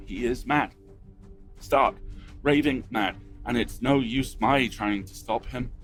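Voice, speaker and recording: A man playing a weary, fearful but dutiful ship's captain in an audio drama, grave and halting as if recording a log. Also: mid-range voice, over music, lightly acted. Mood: alarmed. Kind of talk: audio drama acting, reading a logbook.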